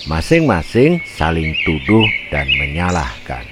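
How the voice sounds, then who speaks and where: Slow, low-voiced man storyteller. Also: huge pitch swings, very noisy. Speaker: children's storyteller. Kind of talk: scripted narration of a children's fable.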